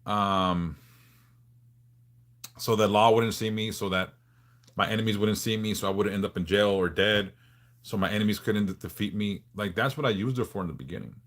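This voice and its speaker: Laid-back man in his forties, lowish voice. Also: a bit bright. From Los Angeles, California. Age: 40s. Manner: laid-back, frank, swears casually, halting restarts. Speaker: livestream host. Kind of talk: casual livestream chat, answering viewers.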